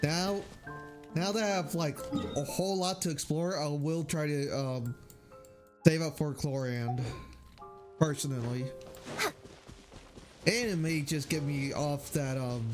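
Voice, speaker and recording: Young man, casual stream commentary, slow halting delivery, rambling, clear game music in background. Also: a little high.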